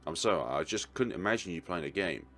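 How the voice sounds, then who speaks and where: A man's voice: low voice, animated, fast talker, fairly bright tone. A man reading a game's narration and dialogue aloud as a let's player, with casual asides. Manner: casual asides and short reactions. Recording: faint game music behind.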